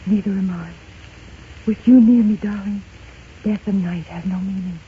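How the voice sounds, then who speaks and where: A woman's voice: mid-range voice, fairly even intonation, normal speed, slight background noise, close to an everyday voice. An actress playing a yearning, resolute married woman in a doomed romance, breathless and melodramatic in 1930s radio drama style. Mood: tender, resigned. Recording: muffled 1930s radio broadcast.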